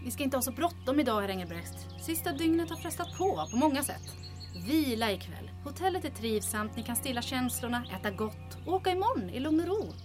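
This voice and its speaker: A woman playing a calm, sensible, sceptical character in an audio drama. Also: high-pitched. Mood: reassuring. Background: music.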